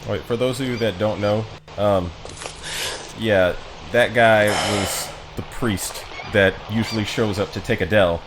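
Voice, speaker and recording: A man's voice: fairly low pitch, fairly even intonation, a little slow, noisy background. A man giving casual live commentary as a game streamer.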